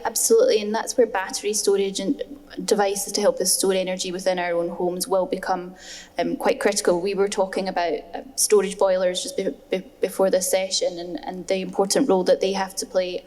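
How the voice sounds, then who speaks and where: A woman's voice: medium pitch, level tone, fairly quick, darkish tone. A woman speaking as a panelist at a design festival discussion, earnest and emphatic, often repeating words for stress. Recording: long hall reverb.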